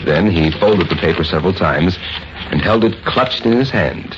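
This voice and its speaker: A man's voice: low-pitched, animated, normal speed, background noise. A man narrating a scripted story in the style of old-time radio. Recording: dull old radio recording, faint music.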